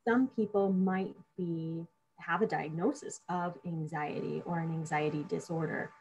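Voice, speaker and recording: A woman who works as a speech-language pathologist, explaining her therapy approach in a relaxed conversation and working through examples of what she asks her clients. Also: on the low side, measured pace, breathy edge, poor audio. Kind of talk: casual professional conversation.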